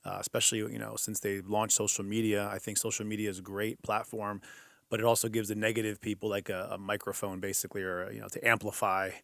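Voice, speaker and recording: Gravelly earnest man, Greek first language. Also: on the low side, level tone, fast talker, a bit bright, no room sound, clean studio sound. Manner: earnest, motivational storytelling. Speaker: podcast guest. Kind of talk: casual interview talk.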